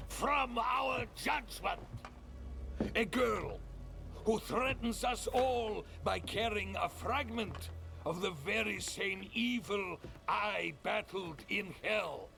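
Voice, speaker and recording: A zealous holy knight in a fantasy game, with heavy gravel in a raised, strained register. He declaims very slowly and solemnly, swinging in pitch. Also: animated, bright, forceful tone, heavily acted. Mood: accusing. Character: zealous battle-scarred male holy knight. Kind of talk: cinematic fantasy game acting. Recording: music behind.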